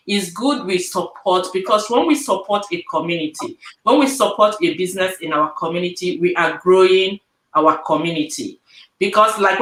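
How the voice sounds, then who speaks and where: A woman's voice: fairly low pitch, a bit bright. A woman who runs a logistics business, speaking earnestly and persuasively on a panel, repeating her points, over a video call. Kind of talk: casual panel chat. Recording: echoey room on a video call.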